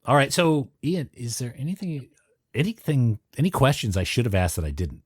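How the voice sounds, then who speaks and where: A man's voice: medium pitch, very animated, normal speed, breathy edge, no echo, clean studio sound. A man hosting a podcast, asking brief, prompting questions in a casual interview chat. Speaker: podcast host.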